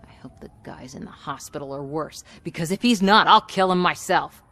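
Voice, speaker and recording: Scheming female production boss, game cutscene: deep for a woman, sly, assertive. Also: brisk, a bit bright, dry recording. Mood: defensive, irritated. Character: scheming, manipulative woman running a commercial shoot. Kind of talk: video game cutscene acting.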